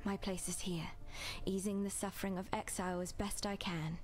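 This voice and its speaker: A woman voicing a weary, selfless healer in a video game, gentle and resigned, with faint music behind. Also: medium pitch, flat delivery, normal speed, lightly acted. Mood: resigned, caring. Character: weary, selfless female healer among exiles.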